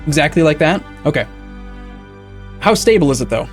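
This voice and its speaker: A man's voice: a little high, big pitch swings, normal speed. A young man live-streaming a game, chatting casually, correcting himself often and answering chat as he sets things up. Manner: rambling, self-correcting, reads and answers chat. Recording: faint music in the background.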